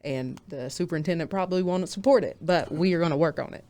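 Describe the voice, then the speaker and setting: Young woman on a podcast, pretty low voice, calm and informative. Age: young adult. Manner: measured, informative. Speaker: podcast guest. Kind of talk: casual discussion.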